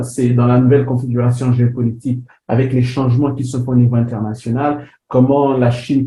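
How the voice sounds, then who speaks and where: Brief: man from DR Congo, very dark mellow voice, hesitant lecturer, roomy computer-mic sound. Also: medium pitch. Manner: hesitant, many fillers and restarts. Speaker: webinar presenter, editor. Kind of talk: online lecture presentation. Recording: roomy sound with faint background noise.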